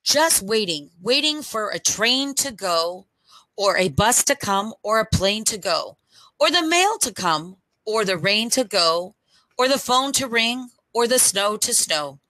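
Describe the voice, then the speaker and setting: Storytime reader: middle-aged woman, bright forward tone, unhurried deliberate phrasing, headset mic. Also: fairly high pitch, dry recording, low-quality recording. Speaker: storyteller reading to children. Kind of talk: read-aloud picture book storytime. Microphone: headset microphone.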